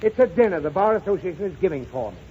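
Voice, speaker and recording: A man's voice: high voice, sing-song, fast talker. A man playing an exasperated, blustering father in a 1930s radio comedy. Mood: irritated. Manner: irritable, blustering. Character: exasperated, flustered father, a pompous lawyer. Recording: muffled, hissy 1930s radio recording.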